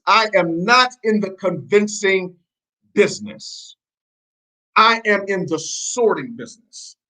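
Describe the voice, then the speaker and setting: A man's voice: high voice, sharp tone, rough recording. A man with an accent shifting between American and Nigerian, giving an emphatic, repetitive motivational network marketing training. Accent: mix of American and Nigerian. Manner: emphatic, repetitive, preachy, quizzing listeners.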